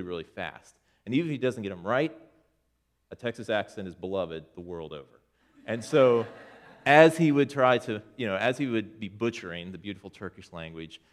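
A man, a church elder, giving an informal presentation to his congregation about a mission trip, talking conversationally with frequent restarts as he points to photos. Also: low-pitched.

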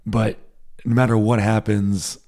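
An American man co-hosting a podcast, chatting casually and quickly, often repeating his words. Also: on the low side, little pitch movement, a little mellow. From United States. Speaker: podcast co-host. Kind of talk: casual chat.

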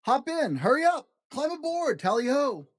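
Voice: high-pitched, big pitch swings, normal speed, dry recording, studio quality, pitched above the performer's own voice. A reader voicing an exuberant, eccentric man who pilots a balloon in a rhyming children's story, theatrical and full of exclamations. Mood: urgent, jolly. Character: exuberant eccentric male balloon pilot, chief soup supervisor.

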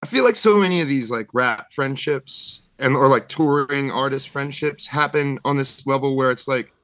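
A man's voice: mid-range voice, moderate pace, a bit bright, no room sound. A man guesting on a podcast, talking thoughtfully and searching for words, with many fillers. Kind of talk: reflective conversational talk.

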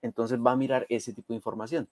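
A man's voice: mid-range voice, fairly even intonation, fast, darkish tone, dry recording. A native Spanish-speaking man explaining things conversationally as an interview guest, emphatic and fond of rhetorical check questions. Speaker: interview guest, community health program advocate.

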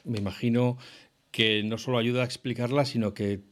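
A man's voice: medium pitch, fairly even intonation, moderate pace. A middle-aged man hosting a podcast interview, chatty and jokey, nudging his guest along. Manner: chatty, jokey, encouraging backchannels. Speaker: podcast host.

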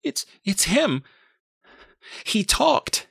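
A man's voice: wildly swooping pitch, unhurried, a bit bright, slightly rough, no echo, studio quality, exaggerated voice. A man voicing a distraught, frightened young mother in an audiobook, stammering and pleading. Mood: panicked. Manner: stammering, high-strung.

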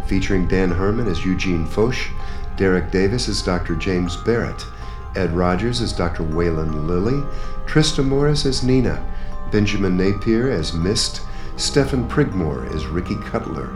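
A man announcing radio play credits in a scripted presenter style, with theme music behind him. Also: deep voice. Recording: roomy sound over music.

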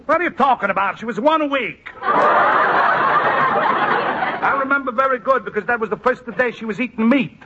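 An actor playing a boisterous, conniving middle-aged Italian immigrant restaurant owner in a radio sitcom, with a thick Italian accent and blustering broken English. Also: male voice, mid-range voice, close to an everyday voice. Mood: jovial. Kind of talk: old-time radio sitcom acting. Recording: dull, noisy vintage radio recording.

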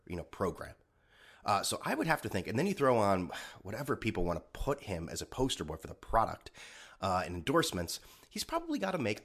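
A man's voice: fairly low pitch, husky. Sarcastic, rambling man with a stuffed-up nose. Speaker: podcast host. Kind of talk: solo podcast rant.

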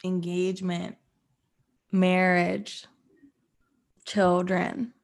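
A woman's voice: on the low side, little pitch movement, very slow, creaky, pitched below the performer's own voice, exaggerated voice. A woman playing an anxious, earnest young woman who seeks reassurance in improv comedy. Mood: uneasy, earnest.

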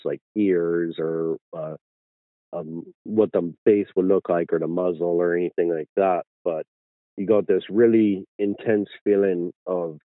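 A man with an Irish accent, a guest telling his story slowly with many fillers and restarts. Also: sits low, no echo, narrow, tinny audio. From Ireland.

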